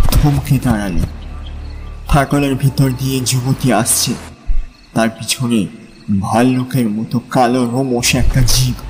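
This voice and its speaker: A man reads scripted dramatic narration for an audio story. His voice sits at a medium pitch, with measured, suspenseful phrasing and faint music behind it. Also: darkish tone, rough recording.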